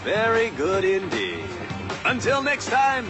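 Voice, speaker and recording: A smarmy game race announcer, super high and swoopy, hyped broadcast patter, music behind. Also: male voice, wildly swooping pitch, takes it slowly, full character voice. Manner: showy, smarmy, theatrical. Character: flamboyant, slick, bloodthirsty male race announcer. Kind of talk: hyped sports broadcast commentary in a video game.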